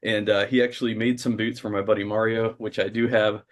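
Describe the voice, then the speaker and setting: A man hosting a casual interview, talking with enthusiasm at length, often pausing on uh. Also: fairly low pitch, little pitch movement. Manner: enthusiastic, talkative, frequent uh fillers. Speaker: host.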